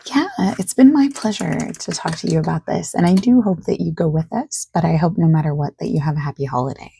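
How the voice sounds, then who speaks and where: A soft-spoken woman doing an ASMR roleplay as a friendly phone salesperson, explaining things at length in a gentle, reassuring, rambling way. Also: low voice, fairly quick, no echo. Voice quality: soft, husky, creaky.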